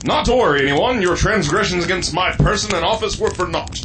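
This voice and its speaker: A man acts out a grandiose small-town mayor in an audio comedy. His voice sits on the high side and his wording is florid and archaic. There is clear background noise. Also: a little fast, lightly acted. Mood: pompous, unbothered. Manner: florid, mock-archaic speech. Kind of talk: audio comedy drama acting.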